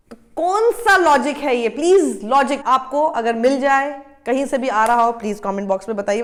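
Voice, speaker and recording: A woman in her thirties giving argumentative news commentary to camera, switching between Hindi and English. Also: sits high. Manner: argumentative, exasperated, mixing Hindi with English phrases.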